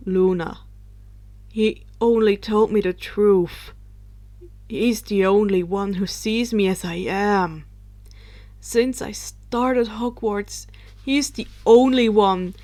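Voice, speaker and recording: A woman plays a teenage wizard boy who blames himself for everything. The delivery is very slow and halting, dejected, with words repeated as the storybook character struggles on. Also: mid-range voice, darkish tone, voice pushed down, lightly acted.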